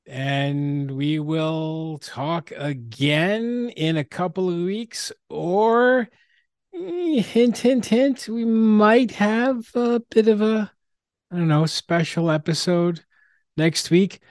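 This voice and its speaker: A man hosting a casual podcast, speaking haltingly and often repeating his words. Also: high voice, darkish tone, no echo, clean studio sound. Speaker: podcast host.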